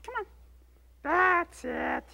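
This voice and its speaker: A man's voice: very high-pitched, lively intonation, very slow, vocal fry, bright, forceful tone, heavily acted. An actor playing a furry blue monster salesman who pushes and over-explains his sunglasses. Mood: pushy. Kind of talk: puppet comedy sketch.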